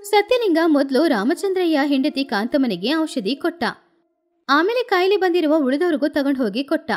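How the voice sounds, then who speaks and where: A woman's voice: sits high, lively intonation, normal speed. A woman storyteller reading a scripted moral tale as narration.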